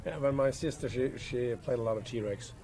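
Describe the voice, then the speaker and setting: A man's voice: medium pitch, normal speed. A man gives casual answers as a band member in an interview. His voice sits in the middle range, with a busy crowd audible behind him.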